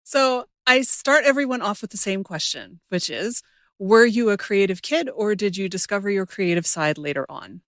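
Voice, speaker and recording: A woman's voice: mid-range voice, normal speed, a bit bright, no echo, clean studio sound. A woman hosting a creativity podcast, speaking in a scripted, presenter style. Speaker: podcast host and creativity coach. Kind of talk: scripted podcast introduction and interview.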